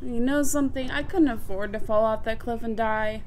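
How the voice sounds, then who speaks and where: A woman's voice: a little high, normal speed, slight background noise, natural voice. A woman playing a sweet, playful young female adventurer in a video game fan dub, with a coy, teasing, conversational manner. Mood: earnest, coy.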